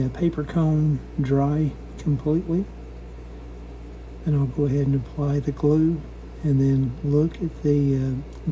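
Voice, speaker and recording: Mellow, even-toned man narrating a repair. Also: fairly high pitch, a little hiss or hum, poor audio. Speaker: radio restorer narrating a repair. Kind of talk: casual step-by-step repair narration.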